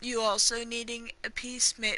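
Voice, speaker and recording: A woman's voice: a little high, flat delivery, normal speed, bright, forceful tone. A woman giving casual gameplay commentary, thinking aloud in a hesitant, rambling way and trailing off mid-thought. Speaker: gamer reacting and theorizing during a playthrough.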